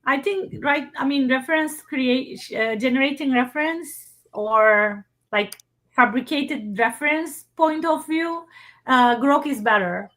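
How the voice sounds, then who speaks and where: Woman workshop presenter, high voice, halting, finds words in a second language, on a video call. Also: fairly bright tone. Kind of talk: answering questions in an online Q&A.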